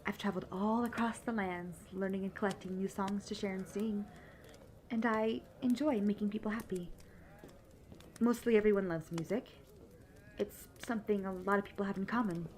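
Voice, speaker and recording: A woman playing a cheerful, polite young travelling bard who chats brightly and directly to the listener in a fantasy audio roleplay. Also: medium pitch, slight background noise, lightly acted. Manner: chatty, bubbly, polite.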